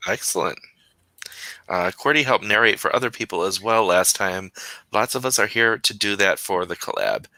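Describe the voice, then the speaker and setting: A man hosting a casual writing livestream, chatting as he reads viewer comments. His voice sits a little low but sounds bright and forward. The close mic keeps it dry, and he laughs easily and trips over his own sentences. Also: expressive, normal speed, no echo. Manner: chatty, self-interrupting, laughs often. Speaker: host of a writing sprint stream.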